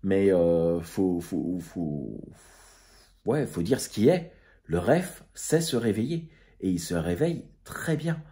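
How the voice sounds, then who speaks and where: A man's voice: deep voice, lively intonation, takes it slowly, warm tone. A man giving a casual, unscripted recap to his followers, hesitant and groping for words, with informal, chummy slang. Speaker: amateur radio video host.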